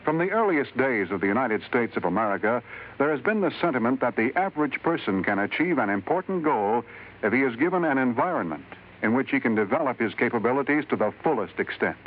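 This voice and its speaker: A man's voice: medium pitch, very animated. Solemn male announcer, narrow vintage radio sound. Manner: measured, solemn, declamatory. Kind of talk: formal scripted public service narration. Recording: narrow vintage radio broadcast, faint hiss.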